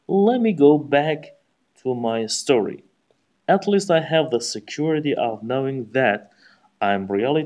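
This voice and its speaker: A man from Iran with an Iranian accent, speaking English as a second language and giving a prepared speech in formal, deliberate phrasing. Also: mid-range voice, a little mellow. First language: Persian. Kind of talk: practice speech, memorized.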